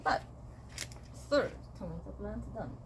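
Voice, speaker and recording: A woman's voice: mid-range voice, animated, slow, heavy background noise. A young woman from Sweden, chatting casually and playfully to her viewers while gardening outdoors, talking to herself and humming as she works. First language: Swedish. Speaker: gardening vlogger.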